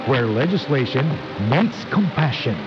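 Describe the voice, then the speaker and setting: A man's voice: medium pitch, expressive, unhurried. A man reading scripted promos as a radio announcer. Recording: dull AM radio reception, loud music. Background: loud music.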